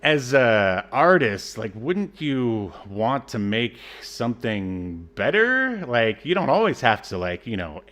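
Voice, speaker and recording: A man's voice: mid-range voice, very animated, slow, few pauses, fairly bright tone. A man co-hosting a casual film discussion podcast, talking in a hesitant, rambling, sardonic way. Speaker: podcast co-host.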